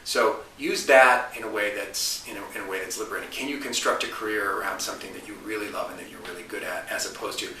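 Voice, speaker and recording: A man's voice: lowish voice, fairly even intonation, fast talker, hardly any pauses, sharp tone. A man in his forties giving thoughtful interview answers about his career, restarting phrases and lifting his voice to stress a point. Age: 40s. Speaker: interview guest, marketing consultant. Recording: roomy, distant mic, faint hiss.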